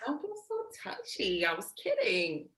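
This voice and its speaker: A woman's voice: medium pitch, wildly swooping pitch, a little slow, fairly bright tone. A woman acting a blunt, teasing, protective young woman in a staged play reading, with casual, sweary, sardonic delivery. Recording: roomy, echoey sound.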